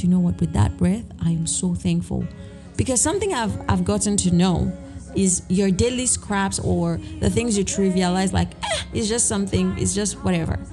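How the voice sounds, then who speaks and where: A woman hosting a solo podcast, talking in an earnest, encouraging way with lots of fillers, her voice a little raspy. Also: mid-range voice. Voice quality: slightly raspy. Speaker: podcast host. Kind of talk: solo reflective podcast talk.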